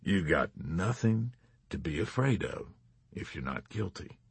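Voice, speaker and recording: A reproachful army major, voiced by a man, murmuring slowly and low in a breathy, husky tone. The recording is dry and close. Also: sing-song, no echo, heavily acted. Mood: mournful. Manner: quiet, slow, heavy lamentation. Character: mournful, reproachful male army major interrogator.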